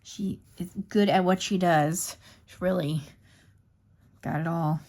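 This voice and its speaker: A young woman chats to her viewers while she colors, her voice sitting a little low and turning slightly hoarse. She rambles easily from one thought to the next in a casual, unscripted way. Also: steady tone, takes it slowly, a little mellow. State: losing her voice. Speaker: craft YouTuber. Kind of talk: casual chatty craft vlog.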